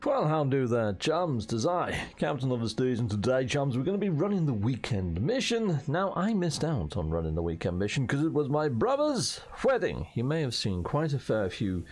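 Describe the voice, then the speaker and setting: Hoarse, lively British gaming host. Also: male voice, medium pitch, normal speed, hardly any pauses. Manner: chatty and jokey, with playful slang and mild swearing. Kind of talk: casual gameplay commentary.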